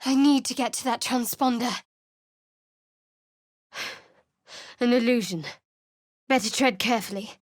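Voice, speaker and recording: A guarded young cleric with a dry, sardonic edge to every line. Her voice sits a little high and is close-miked in a completely dry studio. This is game dialogue, cool and wary. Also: slow pace, pauses often, dry recording. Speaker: game character. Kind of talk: video game voice acting. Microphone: studio mic.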